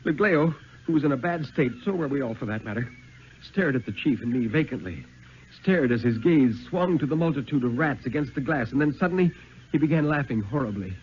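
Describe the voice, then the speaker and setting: A man playing a steely, haunted lighthouse keeper who recounts his ordeal with dramatic, measured urgency in a 1950s radio reading. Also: mid-range voice, expressive, a little hiss or hum, lightly acted. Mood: grim, urgent. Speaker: story reader. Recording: dull vintage radio recording.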